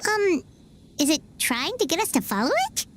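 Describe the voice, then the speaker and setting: A woman's voice: high-pitched, sing-song, moderate pace, slight background noise. A woman voicing a tiny, chatty girl companion in a game who keeps asking curious questions. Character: tiny floating girl companion, chirpy and nosy. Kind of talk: game voice acting.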